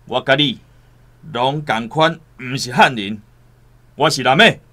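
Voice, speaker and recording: A man's voice: medium pitch, a little slow, pushed and bright. Defiant young Taiwanese rebel man. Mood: defiant, threatening. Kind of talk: Taiwanese radio drama acting.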